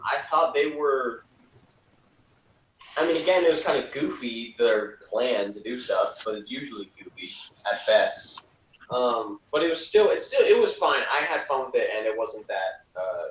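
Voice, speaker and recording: A man's voice: mid-range voice, fairly bright tone. A man chatting casually as a reviewer, giving blunt, informal opinions. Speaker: podcast reviewer. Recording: dull, roomy, distant mic.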